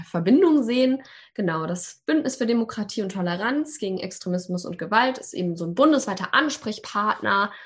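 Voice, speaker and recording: A nineteen-year-old woman from Hamburg joins a podcast remotely. She talks in a chatty, easygoing way and leans on small filler sounds between thoughts. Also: lowish voice. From Hamburg, Germany. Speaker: student, podcast guest. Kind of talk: casual podcast talk. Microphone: remote call.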